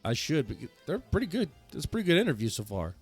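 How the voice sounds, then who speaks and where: A man's voice: on the high side, sing-song, quick pace, husky, soft background music. Man, reaction video host, casual rambling commentary, loose and unscripted. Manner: rambling, many fillers and restarts.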